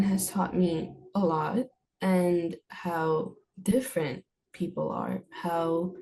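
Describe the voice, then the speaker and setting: A woman's voice: fairly low pitch, gravelly, dark tone, poor audio. A young woman whose first language is Armenian, a student answering interview questions in a hesitant way, often restarting and searching for words. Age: young adult. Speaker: student guest.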